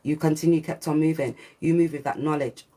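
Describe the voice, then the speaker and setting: A woman with a deep voice giving a channelled spiritual reading, preachy and repetitive, with swearing and slang. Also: a little fast, dark tone. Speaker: spiritual reader. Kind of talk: channelled collective reading monologue.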